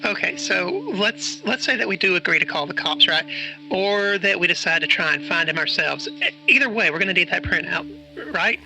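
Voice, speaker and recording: A man's voice: high-pitched, moderate pace, pushed and bright, soft background music, full character voice, rough recording. A man playing an anxious, hesitant character in an audio drama, speaking in an informal, earnest way. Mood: uncertain, pleading. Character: anxious, hesitant man chasing a conspiracy mystery. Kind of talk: naturalistic audio drama dialogue and first-person narration.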